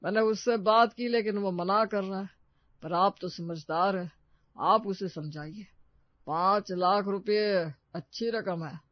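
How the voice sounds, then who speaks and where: A man voices a persistent village headman from a cartoon moral tale. He coaxes with drawn-out words in a high male voice. The sound is dry and a bit muffled. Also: no room sound. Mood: persuasive. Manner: persuasive, drawn-out, coaxing. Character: persistent middle-aged village headman bargaining for land.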